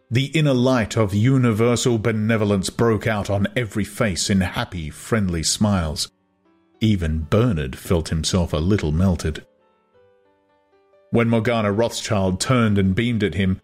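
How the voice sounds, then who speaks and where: A man narrating an audiobook in a measured, dramatic style. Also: lowish voice.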